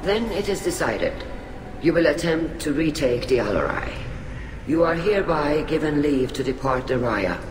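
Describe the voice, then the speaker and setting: An actor playing a formal, measured male alien admiral chairing a hearing in a game cutscene. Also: a little high, big pitch swings. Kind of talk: video game cutscene dialogue. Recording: echoey game mix with background noise.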